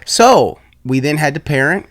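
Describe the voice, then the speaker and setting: A man's voice: fairly high pitch, expressive, normal speed, no echo, studio quality. A man co-hosting a podcast in a casual, rambling chat, often restarting his sentences. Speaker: podcast co-host.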